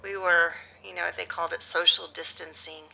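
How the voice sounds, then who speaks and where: A middle-aged actress playing a grieving mother of a missing son, halting and emotional, in a naturalistic audio drama interview. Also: fairly low pitch, level tone, creaky, sharp tone, heavily acted, rough recording. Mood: weary, regretful.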